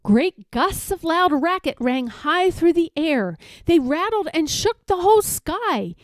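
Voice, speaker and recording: A woman narrating a rhyming children's story in an expressive read-aloud style. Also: very high-pitched, unhurried, no room sound, clean studio sound. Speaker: storybook reader.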